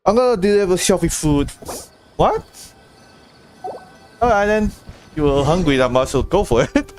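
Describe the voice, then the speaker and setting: A game livestreamer with a highly animated pitch that jumps around. The talk is casual and rambling, reacting out loud to what happens on screen, with music playing faintly behind. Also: moderate pace. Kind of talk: casual live gameplay commentary.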